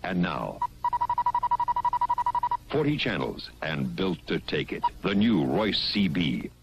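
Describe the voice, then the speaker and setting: A man's voice: low voice, big pitch swings, takes it slowly. A man with an American accent narrating a scripted 1970s television commercial as its announcer. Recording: noisy vintage TV ad soundtrack.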